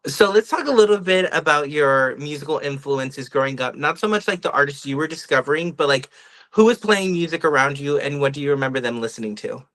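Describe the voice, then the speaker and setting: A man's voice: a little high, a little fast, a bit bright. A man hosting a podcast interview in a casual, friendly and encouraging conversational way.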